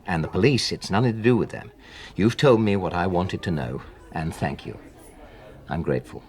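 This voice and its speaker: A man's voice: low voice, normal speed, darkish tone, breathy edge. A man acting in a British radio drama as a polite, anxious middle-class married publisher, with a British accent and a hesitant, earnest manner. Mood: reassuring, subdued.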